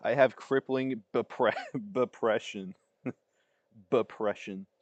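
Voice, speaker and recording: A man's voice: lowish voice, takes it slowly, lo-fi sound, over music. A man giving casual, off-the-cuff reaction commentary as a livestreamer. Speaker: livestreamer reacting to fan-made videos.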